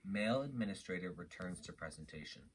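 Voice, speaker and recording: Male narrator for stage directions, very low-pitched, slight background noise. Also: animated, fast, poor audio. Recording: faint background noise, clicks.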